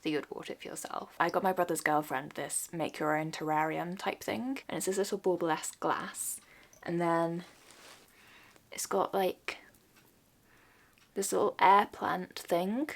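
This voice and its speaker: A woman's voice: on the low side, steady tone, unhurried. A young woman with an English accent chatting casually and enthusiastically to camera as a vlogger.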